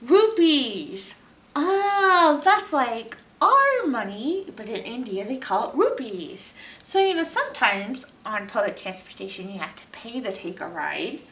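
Animated American woman hosting children's story time. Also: on the high side, measured pace. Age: middle-aged. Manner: animated, exaggerated expression for young children. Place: indoor library room, seated on a couch. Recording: roomy, distant mic, faint noise.

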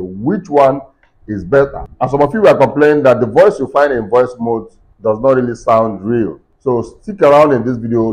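A man in his forties hosting a casual software walkthrough, talking himself through each step. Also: medium pitch. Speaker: tutorial host. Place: indoors in a furnished room. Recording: slightly dull, lo-fi sound.

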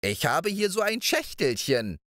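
A man's voice: high voice, big pitch swings, measured pace, fairly bright tone, dry recording, clean studio sound, voice pushed up, full character voice. A man voicing a wheedling old witch of the moor in a storybook reading, coaxing and sing-song. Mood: scheming.

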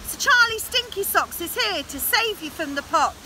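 A woman acts out a gallant young male knight in a storybook, bold and kind. The voice is high and animated, with commanding declarations. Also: slow, a little hiss or hum, full character voice.

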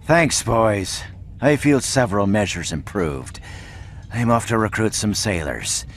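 Game sidekick: jovial, boozy first mate, lowish lively voice, salty pirate talk. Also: male voice, unhurried, slight background noise. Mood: hearty. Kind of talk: video game cutscene acting.

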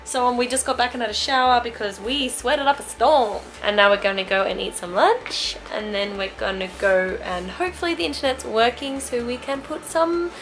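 A woman's voice: a little high, normal speed, few pauses, fairly bright tone, a little hiss or hum. A young woman in her twenties, chatting playfully to a handheld camera about her day. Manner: bubbly and playful, giggly. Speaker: travel vlogger. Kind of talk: casual vlog chat. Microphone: handheld camera at arm's length.

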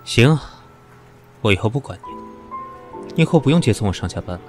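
An actor playing a proud, sarcastic senior executive who is weak from fever and answers in cold, cutting remarks. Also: male voice, medium pitch, lively intonation, fairly quick, soft background music, natural voice. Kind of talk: radio drama acting.

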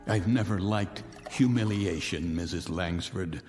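An actor playing a bitter, vengeful millionaire in a radio drama, speaking with sardonic, icy taunts. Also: male voice, low voice, unhurried, a little mellow, in a large hall, soft background music. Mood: cold mocking. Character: bitter vengeful male millionaire, cold and mocking.

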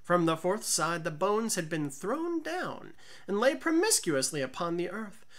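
A woman reading a gothic story aloud in a deliberate, theatrical narrating style. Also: medium pitch, fairly bright tone. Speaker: audiobook narrator.

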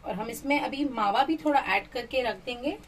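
A woman's voice: fairly high pitch, moderate pace, recorded from a distance, a little hiss or hum. Chatty woman hosting a cooking video. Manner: chatty, mixes English phrases into Hindi. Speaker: cooking channel host. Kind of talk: cooking demonstration voice-over.

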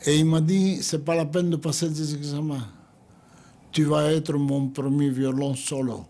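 A man's voice: on the high side, flat delivery, warm tone. An older man from Greece, recounting his memories unhurriedly in a studio interview and acting out what others said. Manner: unhurried storytelling, acting out others' words in quotes.

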